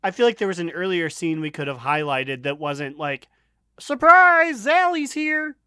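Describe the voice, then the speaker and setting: A man with a fairly high, sharp and bright voice talks on a casual gaming podcast. The sound is dry and close, and his delivery is wry and jokey. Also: sing-song, moderate pace, clear, resonant tone, no echo. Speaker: podcast co-host. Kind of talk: casual chat about a video game.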